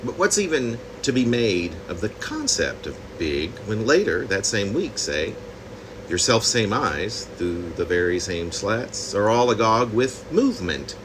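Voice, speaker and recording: A man reading his own poem reflectively, in deliberate, halting phrases with pauses. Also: lowish voice, big pitch swings, noisy background. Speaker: poet reading own work.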